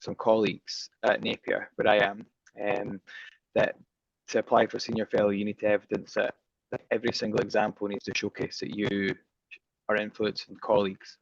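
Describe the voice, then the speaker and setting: A man's voice: lowish voice, little pitch movement, normal speed, gravelly, no echo. A man joining a video call to share a casual comment. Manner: casual, self-deprecating. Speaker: participant. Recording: muffled video call audio.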